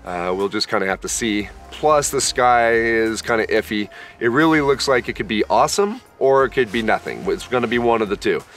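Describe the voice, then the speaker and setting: Tired 30s guy chatting to camera outdoors at camp, easygoing, lots of uhs, mid-pitch voice, faint music under it. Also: expressive, fairly bright tone. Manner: laid-back, tired, unhurried with many fillers. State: worn out after being sick. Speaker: camping vlogger. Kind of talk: casual camp vlog talk to camera. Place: outdoor pine forest campsite.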